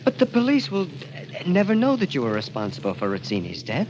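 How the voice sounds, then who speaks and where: A man's voice: sits high, wildly swooping pitch, fairly quick, darkish tone, lo-fi sound, a little hiss or hum. An actor playing an anguished, guilt-ridden young man, narrating and speaking with dramatic intensity in the style of a 1940s radio mystery. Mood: desperate pleading. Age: young adult. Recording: old radio recording with music behind.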